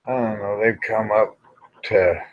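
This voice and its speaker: A man's voice: fairly low pitch, fairly even intonation, measured pace. An American man, middle-aged or older, hosting a casual, rambling livestream chat in a folksy, plain-spoken way with some mild cussing. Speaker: livestream host. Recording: dull, lo-fi livestream audio.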